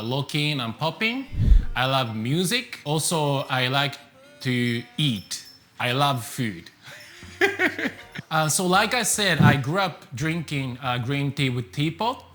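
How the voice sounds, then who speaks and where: Japanese-accented man, bright tone, faint music behind, relaxed self-introduction full of uhs. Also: mid-range voice. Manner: relaxed, many fillers. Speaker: tea business owner.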